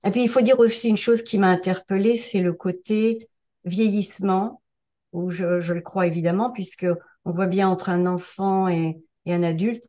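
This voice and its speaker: A woman's voice: medium pitch, steady tone, moderate pace, mellow. A woman around seventy, from France, telling her story as an interview guest in a casual, hesitant way, with many fillers and restarts. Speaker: interview guest sharing a testimonial. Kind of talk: casual spoken testimonial.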